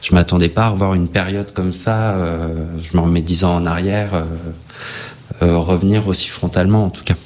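A man in his late thirties speaking as a panel guest, halting with many filler sounds. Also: deep voice, fairly even intonation, darkish tone. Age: 30s. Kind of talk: casual discussion.

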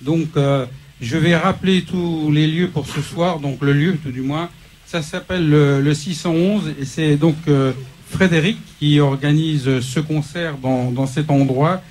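A man's voice: fairly high pitch, fairly even intonation, unhurried, a little mellow, a little hiss or hum. Man, interview host, hesitant, filler-heavy, studio set. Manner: hesitant, many fillers and restarts. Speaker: local TV show host.

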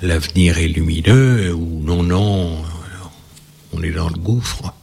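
A man speaking as a guest in a panel discussion, measured and hesitant with many pauses. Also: low voice, vocal fry, a little mellow, husky. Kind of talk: reflective debate talk.

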